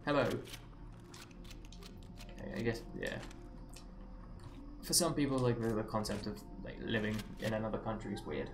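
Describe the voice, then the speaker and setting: A man's voice: on the low side, moderate pace, pauses often. Chatty English man streaming a game. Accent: Southeast English, slightly Americanized. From England. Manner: chatty and rambling, with wry self-mocking asides. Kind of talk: live gameplay commentary. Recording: roomy echo with game music behind. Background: game music.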